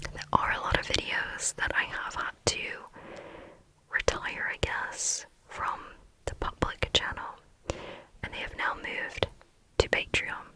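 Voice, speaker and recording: A woman answering viewer questions in a frank, chatty ASMR whisper, often pausing on "um". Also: pushed and bright, low-quality recording. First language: English.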